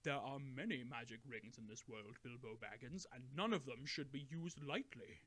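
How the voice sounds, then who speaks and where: A man voicing an old male wizard who speaks in a slow, solemn, stern way. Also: mid-range voice, a bit bright, close to an everyday voice.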